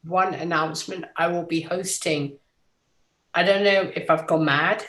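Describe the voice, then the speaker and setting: A woman's voice: on the low side, a little slow, a bit bright, reverberant. A woman whose first language is Swedish, a guest teacher who chats in a nervous, hesitant, self-deprecating way. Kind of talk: casual chat.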